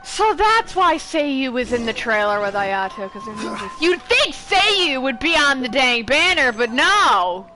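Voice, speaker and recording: Bright-voiced woman streamer, blunt and casual. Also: high voice, big pitch swings, unhurried, hardly any pauses, soft background music, rough recording. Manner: blunt, sarcastic asides. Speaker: gaming streamer. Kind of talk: casual, offhand game commentary.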